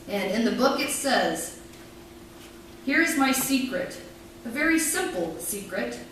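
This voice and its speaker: A middle-aged woman pastor giving a sermon, telling her story in a measured, reflective way and reading scripture. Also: fairly high pitch, fairly bright tone. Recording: very echoey room, from far off, faint noise.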